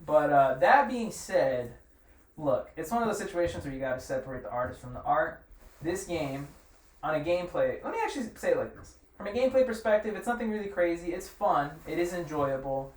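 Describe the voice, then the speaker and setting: A higher-voiced man hosts a casual podcast chat, swearing freely and airing his opinions. He sounds set back from the mic in an echoey room. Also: very animated, fairly quick. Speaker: podcast host. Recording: echoey room, distant mic, faint noise.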